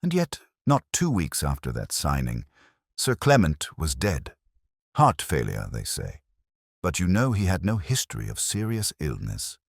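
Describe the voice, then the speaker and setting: A man voicing a probing, measured Victorian detective with formal speech in an audiobook story. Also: low-pitched, wildly swooping pitch, gravelly, dry recording, clean studio sound, heavily acted. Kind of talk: audiobook character dialogue.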